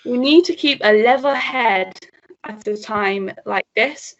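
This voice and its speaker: A steady police sergeant played by a woman in a melodramatic radio play, speaking very slowly in a highish voice. The delivery is halting and calming, on a dull recording. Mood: resolute. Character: steady, level-headed police sergeant rallying constables.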